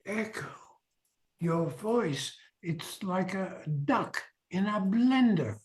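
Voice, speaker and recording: Older man as a very slow, vain rich kid. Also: high-pitched, animated. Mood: vain, self-pitying. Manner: pompous, self-absorbed. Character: vain, self-pitying rich young man. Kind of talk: radio play acting. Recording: echoey, roomy sound.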